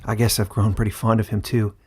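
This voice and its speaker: A man's voice: lowish voice, level tone, fast talker, background noise, close to an everyday voice. A man voicing an earnest, humble boy hero in a fantasy audiobook, speaking in a hesitant, sincere way. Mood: grateful, fond.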